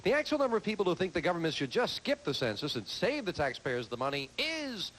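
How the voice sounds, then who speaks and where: A man's voice: high voice, very animated, fast, a bit bright, slight background noise, poor audio. A man hosting a television game show, bantering and joking with the contestants.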